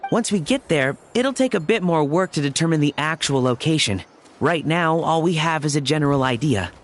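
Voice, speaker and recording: A man voicing a calm practical companion. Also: a little high, normal speed, lightly acted. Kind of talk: game cutscene voice acting.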